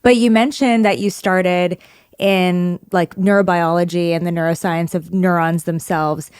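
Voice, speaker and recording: A woman hosts a podcast with a smooth, clear voice pitched a little low. She frames her questions carefully and steps back to explain ideas for listeners. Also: fairly even intonation, measured pace, darkish tone. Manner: engaged, framing questions for listeners. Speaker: podcast host. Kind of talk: podcast interview.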